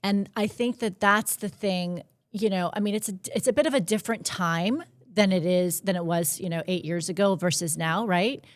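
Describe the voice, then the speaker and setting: A woman's voice: mid-range voice, normal speed, hardly any pauses, no room sound. Woman podcast host, rambling questions, fillers, dry close sound. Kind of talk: casual interview chat.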